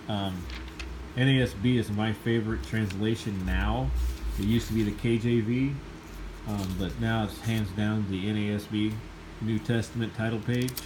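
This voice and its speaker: A man's voice: lowish voice, background noise. A man with a slight cold, sniffling a little, giving an enthusiastic, rambling casual review of a Bible.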